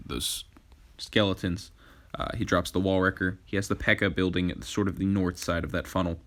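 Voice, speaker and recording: Deep-voiced man, a bit scratchy. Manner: relaxed, frequent "uh" and "um" fillers. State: slightly hoarse from a cold. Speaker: gaming channel host. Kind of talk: casual gameplay commentary.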